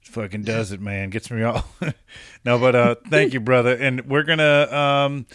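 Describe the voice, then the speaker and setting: A man's voice: medium pitch, moderate pace, fairly bright tone, no echo, clean studio sound. A man hosting a podcast, introducing segments in a loose, joking, mumbly way. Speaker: podcast host.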